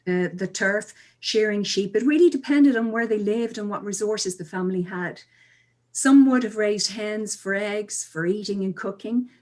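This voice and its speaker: Articulate woman, measured interview guest. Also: mid-range voice. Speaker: interview guest, cultural speaker. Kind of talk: interview answers, explanatory.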